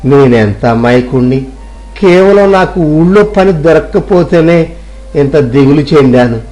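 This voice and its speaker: A man's voice: a little high, expressive, unhurried, a little mellow, soft background music. A man playing a poor, self-doubting villager who thinks aloud earnestly in a moral story. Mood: awed. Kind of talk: Telugu moral story audio drama.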